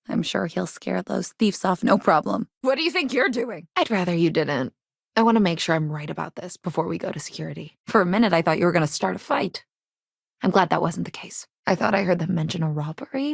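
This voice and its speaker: An actress voicing a guarded but caring, plain-spoken woman innkeeper from a rough sci-fi district in a video game. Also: lowish voice, no room sound, studio quality. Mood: stern, upset. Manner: plain-spoken, earnest, civic-minded. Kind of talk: game dialogue lines, conversational.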